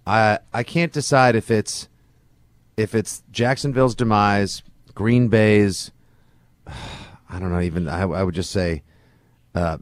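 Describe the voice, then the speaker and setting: A man's voice: fairly low pitch, slow, pauses often, no room sound, studio quality. A man co-hosting a sports podcast, chatting casually about football in a colloquial, emphatic way. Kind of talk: casual football analysis chat.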